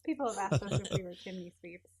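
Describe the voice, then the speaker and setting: A woman from New Jersey, a podcast guest, chatting casually and quietly. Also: medium pitch, lively intonation, fast, a crowd in the background. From New Jersey, United States. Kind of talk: casual conversational interview.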